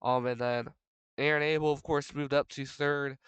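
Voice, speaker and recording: A man's voice: mid-range voice, steady tone, moderate pace, fairly bright tone, clear, resonant tone, no room sound. A young man with a congested, stuffy voice, giving a rambling solo preview of a racing league's standings with lots of fillers and restarts. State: congested from a cold. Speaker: race commentator for a sim racing league. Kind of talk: solo race preview commentary.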